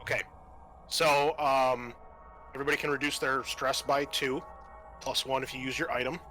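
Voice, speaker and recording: A man's voice: medium pitch, level tone, moderate pace, bright, forceful tone, soft background music. A man acting as game master, casually narrating a tabletop game and thinking aloud as he gives rulings.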